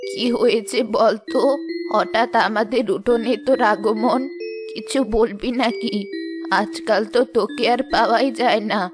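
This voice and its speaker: A woman voicing a kindly, careworn village grandmother in an animated moral story, affectionate and gently scolding. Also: a little high, unhurried, lightly acted. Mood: teasing. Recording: music behind the voice.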